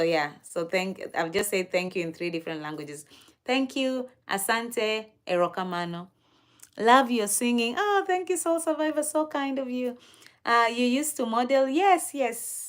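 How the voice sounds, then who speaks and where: A Kenyan woman hosting a livestream, chatting casually and answering viewer comments in an unhurried, chatty way. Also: fairly high pitch. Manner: unhurried, chatty, with fillers and soft laughs. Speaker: livestream host.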